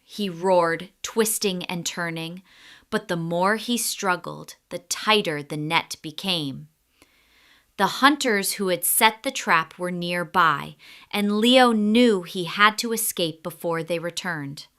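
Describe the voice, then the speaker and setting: A woman storyteller reading a scripted children's story in an expressive, deliberate way. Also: on the low side.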